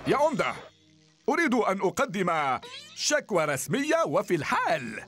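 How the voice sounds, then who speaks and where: A man's voice: high-pitched, wildly swooping pitch, normal speed, a bit bright, soft background music, full character voice. A man voicing a gleeful, scheming, lazy villain in a children's cartoon dub, sly and theatrical.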